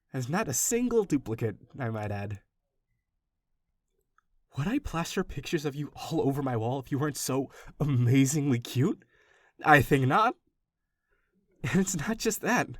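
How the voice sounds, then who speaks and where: A man's voice: mid-range voice, dry recording. Man acting a gushing obsessive stalker, close dry sound. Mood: gushing, excited. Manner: gushing, rambling, affectionate, self-interrupting. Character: obsessive, doting young male stalker, awkwardly gentle kidnapper. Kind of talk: audio roleplay addressed directly to the listener.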